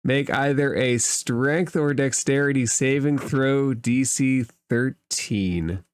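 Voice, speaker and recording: A man narrating a tabletop roleplaying game as game master, explaining rules and addressing the players in a measured way. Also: medium pitch, no echo, studio quality.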